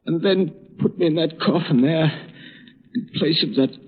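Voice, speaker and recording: An actor playing a weak, starved, desperate prisoner in an old-time radio drama, husky, breathy and feeble. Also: male voice, fairly high pitch, measured pace. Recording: echoing vault reverb, dull old recording.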